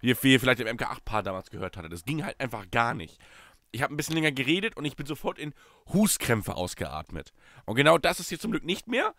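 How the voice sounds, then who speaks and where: A young German man commenting casually on his gameplay as a let's play host, his voice still hoarse from a cold, jumping between loud bursts and low mutters. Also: sing-song, fast, no room sound, studio quality.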